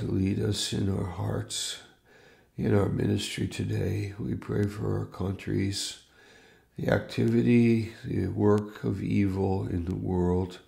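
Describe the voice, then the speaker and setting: A man in his fifties, a pastor giving a morning devotional from his home study, reading scripture and praying in a reflective way, pausing and restarting his phrases. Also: lowish voice, creaky, mellow, roomy sound.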